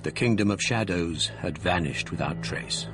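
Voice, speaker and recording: An actor narrating as a young scholar recalling a night of terror in a radio drama. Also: male voice, low-pitched, lightly acted. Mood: tense. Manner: tense, measured storytelling. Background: eerie music.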